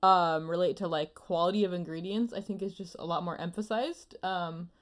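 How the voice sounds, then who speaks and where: A woman's voice: lowish voice, fairly even intonation, normal speed. A woman with an American accent talking casually as an anime panelist, reasoning aloud in a reflective way. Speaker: podcast panelist. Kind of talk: casual chat about anime.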